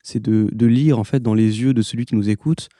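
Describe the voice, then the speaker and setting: A man's voice: lowish voice, steady tone, fairly quick, dark tone, dry recording, clean studio sound. A young man, a student, answering interview questions earnestly and reflectively. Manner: earnest, reflective, list-like phrasing.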